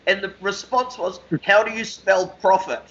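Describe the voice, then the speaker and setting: A man co-hosting a conversational radio discussion, talking discursively with measured emphasis. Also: high-pitched, fairly bright tone. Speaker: radio show co-host, interviewer. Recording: slightly dull lo-fi call audio.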